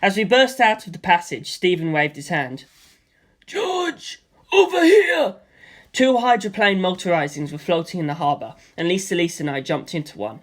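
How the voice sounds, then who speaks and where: A woman's voice: on the low side, lively intonation, brisk, hardly any pauses. Woman reading a story aloud. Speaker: reader. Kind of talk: audiobook narration.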